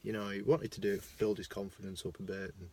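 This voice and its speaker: Chatty Lancashire lad, low voice. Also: male voice, flat delivery, fast talker, slightly rough. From Lancashire, England. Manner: chatty, self-mocking, swings between loud bursts and mumbling asides. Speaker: vlogger. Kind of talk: casual rambling monologue. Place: parked car. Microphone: phone.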